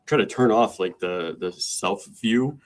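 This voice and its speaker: A man's voice: on the low side, animated, unhurried. A man co-hosting a business podcast, chatting in an upbeat, loose way with lots of fillers and restarts. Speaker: podcast co-host. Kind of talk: casual chat.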